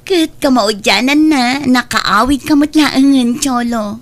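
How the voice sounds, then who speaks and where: A woman's voice: high voice, a little slow, loud music behind the voice. A woman with a Filipino accent playing a young, dutiful daughter in a comedy drama, chatty and reassuring. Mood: affectionate. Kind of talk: amateur comedy drama acting.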